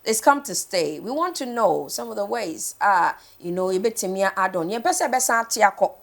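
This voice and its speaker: A woman's voice: medium pitch, animated, normal speed, vocal fry. A woman with a Ghanaian accent chatting casually as a morning TV host, switching between two languages. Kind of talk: casual TV chat, code-switching.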